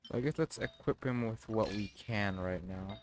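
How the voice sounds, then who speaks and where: A man's voice: lowish voice, moderate pace, darkish tone, a little hiss or hum. A man chatting casually as he streams a game, thinking aloud to himself. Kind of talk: casual muttering livestream gameplay chat.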